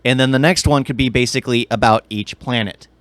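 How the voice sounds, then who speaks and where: A man's voice: mid-range voice, brisk, dry recording. Male podcast co-host, mid-range voice, dry sound, rambling and opinionated, keen fan talk. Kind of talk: casual chat about video games and film.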